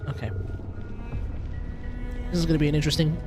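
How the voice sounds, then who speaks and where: A man's voice: on the high side, little pitch movement, brisk. An Australian man doing casual live game commentary, rambling and thinking aloud in slangy talk. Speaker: game streamer. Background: game music.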